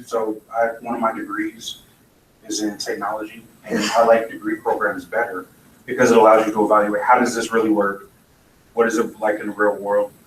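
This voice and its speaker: A man giving a blunt, opinionated conference talk with dry asides. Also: fairly low pitch. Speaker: conference speaker. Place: conference hall. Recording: echoey hall, mic from far off, faint noise.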